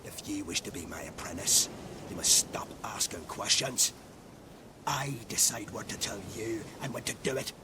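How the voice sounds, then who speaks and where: An actor playing a crotchety, haughty old druid in a video game, with an imperious, condescending manner and whispery phrase endings. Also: male voice, fairly low pitch, lively intonation, moderate pace, background noise, lightly acted. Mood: testy, impatient. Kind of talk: scripted video game acting.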